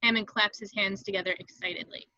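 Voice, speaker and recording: A woman's voice: medium pitch, fairly even intonation, fast, bright, forceful tone, no room sound. A woman reading stage directions as the narrator of a script table read, in a slightly dull, dry home recording.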